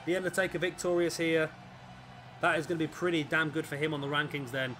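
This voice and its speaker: A man with a raspy, fading voice, commentating on a wrestling video game as the show's host. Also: on the high side, level tone, fast talker. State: hoarse, voice fading. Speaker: host and commentator of a gaming series. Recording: clearly noisy background.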